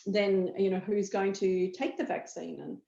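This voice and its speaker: A woman with an Australian accent explains things as an expert guest in a discussion, in a measured, conversational way with rhetorical questions. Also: mid-range voice, steady tone, gravelly, warm tone. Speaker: pharmaceutical chief medical officer, expert guest. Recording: echoey room, muffled audio.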